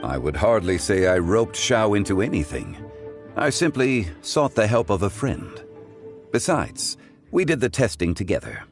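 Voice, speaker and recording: An actor playing a composed, scholarly gentleman in a video game, speaking in formal, courteous phrases. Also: lowish voice, very animated, moderate pace, long reverb tail, soft background music. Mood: calm, genial. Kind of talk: scripted video game dialogue.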